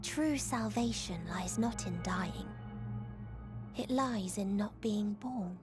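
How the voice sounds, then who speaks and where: A woman voicing a melancholy, otherworldly girl in a game, solemn, soft and deliberate. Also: mid-range voice, over music, lightly acted. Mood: sorrowful conviction. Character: melancholy otherworldly girl preaching salvation through nonexistence.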